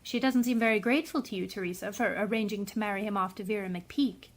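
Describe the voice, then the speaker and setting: A woman's voice: medium pitch, steady tone, quick pace, close to an everyday voice. A woman playing a breezy, bored wealthy society matron with a languid, teasing way, in a radio drama. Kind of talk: old-time radio drama acting.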